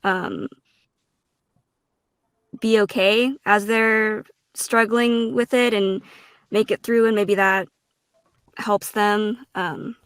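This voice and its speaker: A woman giving a casual, reflective interview answer, earnest and halting, with informal turns of phrase. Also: mid-range voice, steady tone, smooth, dry recording. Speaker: guest voice actor and streamer.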